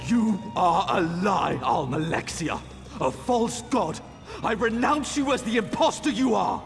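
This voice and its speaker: A defiant young zealot, high and swooping, big cavernous reverb, fantasy game. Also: male voice, animated, measured pace. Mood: defiant fury. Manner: declamatory, defiant. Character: defiant young male heretic, zealous and unrepentant. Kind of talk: fantasy video game voice acting. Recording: long echoing hall, faint music.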